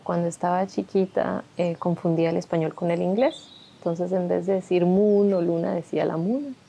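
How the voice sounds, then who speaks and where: A woman's voice: lowish voice, steady tone, normal speed, gravelly, dark tone, a little hiss or hum. A woman in her thirties who grew up in Colombia, telling her life story candidly and reflectively in a documentary interview. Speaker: interviewee, migrant shelter founder.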